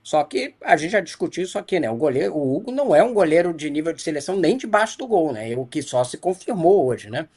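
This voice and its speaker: A Brazilian male sports journalist giving a critical player-by-player analysis in a studio debate, often ending points with a quick tag question. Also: fairly high pitch, very animated, darkish tone, breathy edge. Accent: Brazilian Portuguese.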